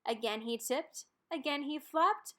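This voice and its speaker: High pitched lady doing a kids picture book read aloud, expressive, really punches the rhyming words. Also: unhurried. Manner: expressive, dramatic emphasis on rhymes and key words. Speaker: storybook reader.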